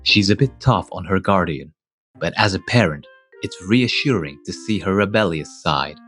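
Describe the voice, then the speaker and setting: A man playing a mild, wistful adult guardian, narrating a manga dub in a measured, reflective way. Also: deep voice, expressive, a bit bright, soft background music. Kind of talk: scripted first-person manga dub narration.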